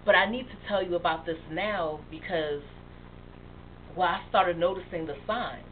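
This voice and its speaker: An actress playing a young woman who haltingly and emotionally confesses a past addiction to her best friend. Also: on the low side, natural voice. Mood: confiding. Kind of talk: dramatic monologue. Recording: tinny phone-like sound with faint hiss.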